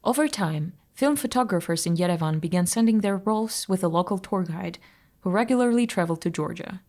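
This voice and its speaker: Low-voiced woman. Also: a little mellow. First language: Armenian. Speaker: narrator reading a personal essay. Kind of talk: scripted audio article narration.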